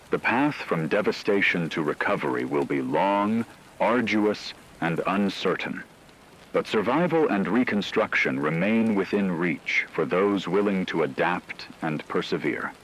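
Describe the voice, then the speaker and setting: A man playing a deep-voiced, solemn radio anchor. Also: fairly bright tone, a little hiss or hum, exaggerated voice, rough recording. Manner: solemn, measured, formal. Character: solemn male radio anchor reporting a world war. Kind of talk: scripted dramatic radio news narration.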